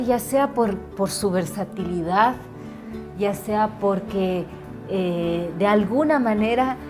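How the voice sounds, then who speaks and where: A woman giving reflective commentary as a drama creator, searching for her words. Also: mid-range voice. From Ecuador. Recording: music behind the voice.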